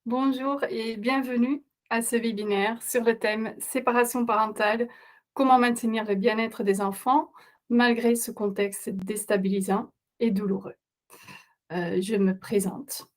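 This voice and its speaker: A middle-aged woman who is not a native speaker of the language hosts a webinar for parents. Her voice sits a little high, and she explains things steadily, often pausing on hesitation sounds. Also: fairly even intonation. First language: not French. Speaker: parenting coach, webinar host. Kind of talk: presenting a webinar.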